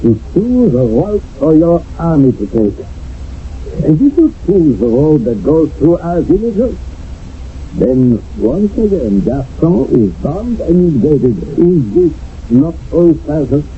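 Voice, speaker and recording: Stern village judge, lively pitch, thin radio sound. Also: male voice, takes it slowly. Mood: firm. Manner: formal, solemn, judicial. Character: stern village chairman presiding over a wartime people's court. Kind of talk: radio drama acting. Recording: lo-fi old radio broadcast, faint noise.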